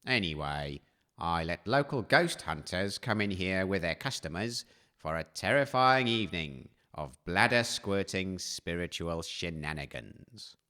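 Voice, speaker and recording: A grumbling, shifty railway station master acted by a man for comedy radio drama. The voice sits very low and stays quiet, muttering gruffly and crudely like an underpaid worker. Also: animated, a little slow, full character voice. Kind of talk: comedy audio drama acting.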